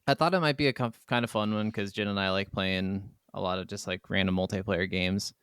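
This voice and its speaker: A man co-hosts a casual gaming podcast with an easygoing, slangy delivery. His voice sits fairly low and is smooth and clear. The sound is very dry. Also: fairly even intonation, a little fast, fairly bright tone, no echo. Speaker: podcast co-host.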